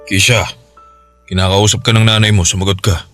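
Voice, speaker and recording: A man's voice: fairly low pitch, little pitch movement, fairly quick, fairly bright tone, faint music behind, lightly acted. A man playing a stern, distrustful father who speaks firmly and bluntly in a Tagalog radio drama. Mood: scolding. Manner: firm, scolding, blunt. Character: stern, distrustful father guarding his child.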